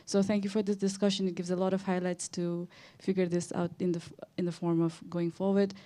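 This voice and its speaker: Young nepali-accented woman chiming in from the audience at a conference, informal, soft dark tone. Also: fairly low pitch, flat delivery, a little fast. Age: young adult. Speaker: audience member making a comment. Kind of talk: informal spoken comment at a conference.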